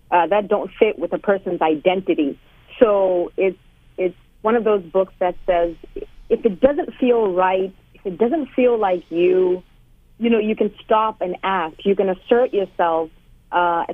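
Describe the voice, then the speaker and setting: A woman in her thirties from Malawi, a guest author telling her story in a radio interview with lively, laughing energy. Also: medium pitch, dry recording. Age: 30s. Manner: animated storyteller, laughs often. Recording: tinny phone line.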